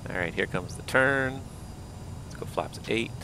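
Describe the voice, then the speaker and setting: A man flying a simulated airliner, thinking aloud and calling out checklists, with a voice that is going hoarse. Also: lowish voice, lively intonation, unhurried, background noise. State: losing his voice. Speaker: flight simulator pilot. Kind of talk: casual cockpit commentary.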